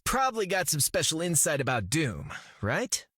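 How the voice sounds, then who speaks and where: Cocky, animated young hotshot. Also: male voice, fairly high pitch, moderate pace, husky, no room sound, studio quality, heavily acted. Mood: breezy, teasing. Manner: breezy, teasing, slangy. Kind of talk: video game voice acting.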